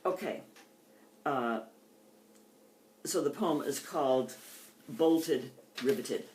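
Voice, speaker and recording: An older woman poet giving a reading with measured, deliberate phrasing and informal delivery between pieces. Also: very deep voice, expressive, a little mellow, a little hiss or hum. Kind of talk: poetry reading with informal introductions. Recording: echoey, roomy sound.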